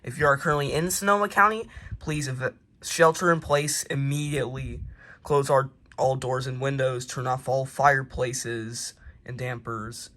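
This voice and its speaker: A man reads amateur mock emergency announcements in a halting, informal way, correcting himself and slipping into casual asides. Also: medium pitch, steady tone, pushed and bright. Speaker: narrator of a homemade emergency alert scenario.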